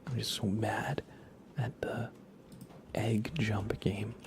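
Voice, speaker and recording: A man whispering softly and playfully close to the microphone, giving relaxed ASMR commentary while he games and chats with viewers. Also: on the low side, slow, noisy background. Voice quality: soft, breathy whisper. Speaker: live streamer. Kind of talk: whispered ASMR game stream commentary with chat.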